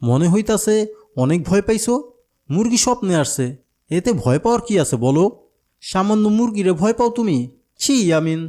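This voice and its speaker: A man's voice: high voice, animated, fairly quick, long pauses, warm tone. A man voicing a friendly, patient older companion to a child in a cartoon, with a Bangladeshi accent. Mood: teasing. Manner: patient, coaxing, explanatory. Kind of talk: cartoon dialogue.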